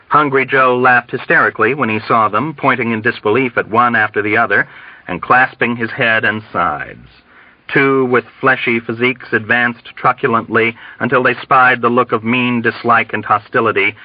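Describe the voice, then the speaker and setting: A man's voice: medium pitch, no echo. A man reading a novel aloud as scripted audiobook narration. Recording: dull, dry, band-limited audio.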